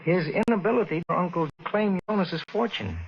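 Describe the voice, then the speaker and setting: Clerk: prim polite higher voice, old hissy radio. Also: male voice, fairly quick, vocal fry, dull audio. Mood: hesitant. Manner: polite, hesitant, quietly threatening. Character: polite prim male record shop clerk. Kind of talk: 1940s radio acting.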